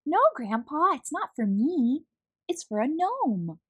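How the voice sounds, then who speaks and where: A woman's voice: high-pitched, very animated, slow, no echo, lo-fi sound, pitched above the performer's own voice, exaggerated voice. A woman voicing an eager, bubbly little girl in a children's storybook. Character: Tess, eager sweet little girl wishing for a gnome.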